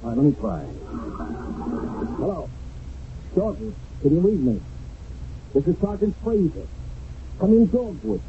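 An actor playing a commanding army sergeant on patrol, urgent and decisive, in a radio drama recorded from an old broadcast. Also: male voice, sits high, very animated, normal speed, pauses often, phone-quality sound, noisy background. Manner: urgent, decisive, giving orders.

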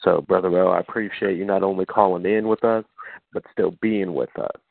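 A man's voice: sits low, steady tone, fairly quick, gravelly, a little hiss or hum. A man hosting a radio show, speaking supportively in a sincere, slightly formal way. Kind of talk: supportive, measured radio talk. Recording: narrow phone-like radio line.

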